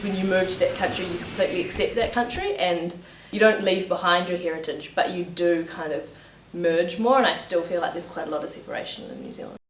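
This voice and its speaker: A woman speaking in a wistful, thoughtful way in an interview. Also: fairly low pitch. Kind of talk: interview talk. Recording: echoey and distant, with music underneath.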